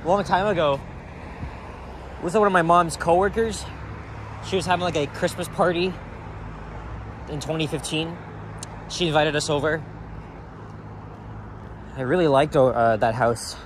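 A man's voice: on the high side, measured pace, pauses often. A young man rambling casually as he vlogs on a walk outdoors with a handheld camera. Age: young adult. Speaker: vlogger. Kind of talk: casual walking vlog. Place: outdoors on a city sidewalk. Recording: outdoor street traffic noise. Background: cars and street ambience.

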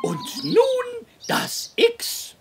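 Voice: very high voice, huge pitch swings, very slow, exaggerated voice. An actor voicing a prim male gander schoolteacher who patiently instructs and corrects in a children's cartoon. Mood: correcting. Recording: birdsong noise throughout.

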